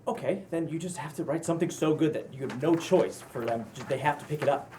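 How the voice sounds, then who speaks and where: A man's voice: fairly high pitch, fast talker, warm tone, slight background noise, close to an everyday voice. A man playing a teasing, supportive roommate in a casual comedy-drama script read, sounding conversational and playfully sassy. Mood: encouraging. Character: Max, exasperated, teasing, supportive male roommate and friend. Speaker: actor at a table read.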